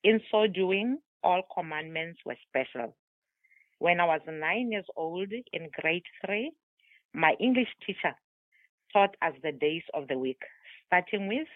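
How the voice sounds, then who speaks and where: A South African woman with a lowish voice tells her life story slowly and deliberately. Her first language is Tswana. She comes through a dry, tinny phone line. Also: no room sound. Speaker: guest author. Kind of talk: remote interview, telling her life story.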